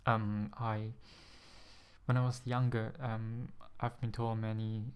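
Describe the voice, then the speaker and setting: Young singaporean guy vlogging, lowish flat voice, lots of ums, kinda nervous, careful with his words. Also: clear, resonant tone. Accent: Singaporean-influenced English, carefully pronounced. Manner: hesitant, many ums, a little nervous; careful, deliberate pronunciation. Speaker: ASMR channel host. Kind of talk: casual vlog talk to camera. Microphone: USB desk microphone.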